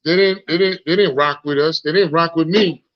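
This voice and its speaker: Guest, man in his 40s, Haitian accent, frank and reflective, high-ish voice, heard over muffled phone audio. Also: steady tone, brisk, a little hiss or hum. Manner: frank and reflective, repeats words while searching for them. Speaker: guest, founder. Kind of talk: casual live interview.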